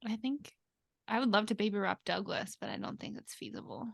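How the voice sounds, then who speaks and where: A woman's voice: mid-range voice, very animated, a little fast, gravelly, breathy edge, no echo, clean studio sound. A woman co-hosting a podcast in an informal, conversational way. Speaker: podcast co-host. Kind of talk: casual chat.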